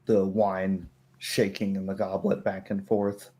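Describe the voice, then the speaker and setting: A man narrating a tabletop roleplaying game as game master, in measured, broken phrases. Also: low voice, completely flat delivery, warm tone.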